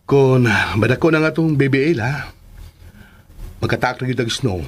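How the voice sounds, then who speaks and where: A man's voice: mid-range voice, normal speed, natural voice. A Filipino-accented man in a radio drama playing a reassuring young husband and doting father. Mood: reassuring, eager.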